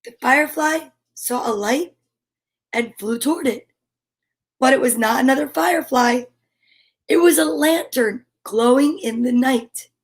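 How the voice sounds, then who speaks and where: A woman's voice: high-pitched, a little slow. A woman hosting a children's storytime, reading a picture book aloud expressively with chatty asides. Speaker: storyteller and host.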